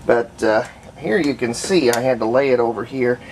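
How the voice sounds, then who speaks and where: A man with a gravelly, creaky voice narrates a hands-on hobby demonstration in a casual, folksy way. He takes his time and thinks aloud as he goes. There is a faint layer of noise behind him in the homemade recording. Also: mid-range voice, moderate pace, low-quality recording. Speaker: hobbyist restorer and video host.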